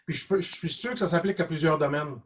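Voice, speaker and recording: A man's voice: a little high, fast, fairly bright tone. A man with a Quebec French accent speaking casually and reflectively as a guest, hesitant and often repeating words. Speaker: guest cartoonist and animator. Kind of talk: casual online interview, reflective advice. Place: video call. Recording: dull lo-fi online call.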